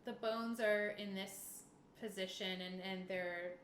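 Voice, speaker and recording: A woman's voice: medium pitch, fairly even intonation, slow, a bit bright. A woman running a tabletop roleplaying game, narrating casually and off the cuff with a playful, giggly manner. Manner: giggly, uses playful sound effects. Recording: echoey room with clear background hiss.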